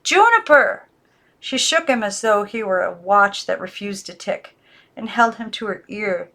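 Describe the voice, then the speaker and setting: A woman reading a children's novel aloud in calm, even narration, now and then correcting herself. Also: medium pitch, gravelly. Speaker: audiobook reader.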